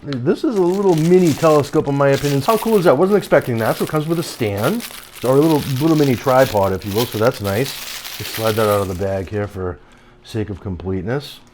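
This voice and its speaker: A middle-aged man casually reviewing a product at home and on his deck, rambling, often breaking off mid-sentence and getting excited about what he finds. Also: medium pitch, a little fast, slight background noise, poor audio. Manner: rambling, self-interrupting, easily excited. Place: home, later outdoors on a deck.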